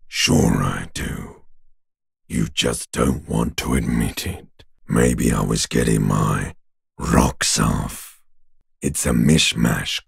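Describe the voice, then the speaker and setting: A man voicing a bloated, bitter talking corpse in a video game, with a gravelly rasping growl and a slow, taunting, insinuating manner. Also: extremely low pitch, no echo, clean studio sound, exaggerated voice. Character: bloated talking male corpse, bitter, mocking, world-weary. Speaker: voice actor. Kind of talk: video game character voice lines.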